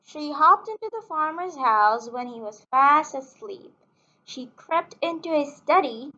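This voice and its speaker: A woman with a high voice reads a children's picture book aloud at an unhurried pace. Her delivery is sing-song and deliberate, made for young listeners. The recording is somewhat dull and lo-fi. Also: expressive, pushed and bright. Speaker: children's storytime channel host.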